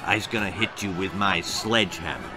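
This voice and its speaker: A huge hairy brute from a Wild West storybook saloon, acted out by a man. The voice is dropped low, slow-witted and threatening, over clear music. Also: lively intonation. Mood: menacing. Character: very large, hairy, menacing brawler in a Western saloon.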